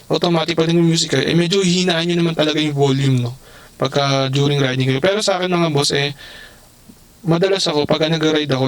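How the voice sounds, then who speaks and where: A man casually unboxing and reviewing a budget helmet intercom, talking straight to his viewers and calling them bosses. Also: fairly high pitch. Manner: chatty, addresses viewers as bosses. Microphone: camera mic, sometimes through intercom earpiece.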